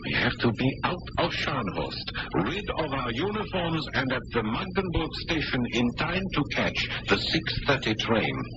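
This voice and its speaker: An actor playing a resourceful, quick-witted French pilot prisoner with a confident, take-charge manner in old-time radio drama. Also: male voice, mid-range voice, big pitch swings. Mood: brisk, commanding. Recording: noisy muffled old radio recording.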